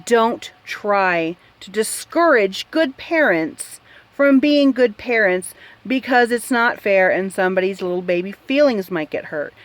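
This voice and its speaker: A woman with a medium-pitched voice delivers opinion commentary as a show host. She speaks slowly and deliberately, leaning hard on her points with a blunt, exasperated edge. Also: animated. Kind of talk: opinion commentary on a news article.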